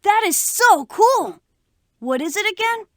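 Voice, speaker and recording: A woman voicing an eager, excitable young rookie adventurer in a children's computer game, gushing and exclaiming. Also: extremely high pitch, unhurried, no room sound, studio quality, heavily acted. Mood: excited.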